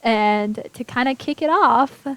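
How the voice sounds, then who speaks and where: A woman's voice: fairly high pitch, takes it slowly, fairly bright tone, clean tone, low-quality recording. A woman hosting an internet radio show, chatty and bubbly, laughing often as she talks. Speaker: host. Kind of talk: casual internet radio chat.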